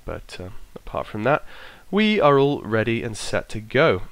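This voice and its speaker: A man with a bit of a cold, his voice croaky, casually talking himself through an aircraft checklist and trailing off as he goes. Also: medium pitch, very animated, moderate pace, dry recording, low-quality recording. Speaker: flight sim streamer. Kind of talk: casual narrated walkthrough.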